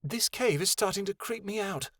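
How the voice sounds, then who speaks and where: A man's voice: extremely high pitch, sing-song, fast, fairly bright tone, dry recording, studio quality, pitched above the performer's own voice, heavily acted. A man voicing a fussy, complaining female winged creature in a fantasy story, moaning and indignant. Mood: unhappy.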